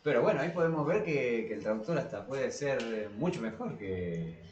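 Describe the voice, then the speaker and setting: A man's voice: mid-range voice, expressive, normal speed, a little mellow, lo-fi sound. A man with a Rioplatense Spanish accent co-hosting a casual discussion podcast, speaking informally and emphatically, often repeating his phrases. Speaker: podcast co-host. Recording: echoey room, distant from microphone.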